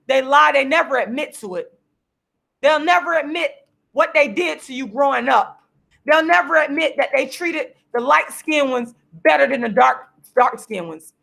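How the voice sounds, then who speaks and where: A woman in her forties, losing her voice, delivering a heated, repetitive, preachy rant straight to the camera while she sits signing books, sometimes breaking into shouts. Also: high-pitched, gravelly. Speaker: vlogger and activist. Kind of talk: impassioned direct-to-camera rant. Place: seated at home signing books.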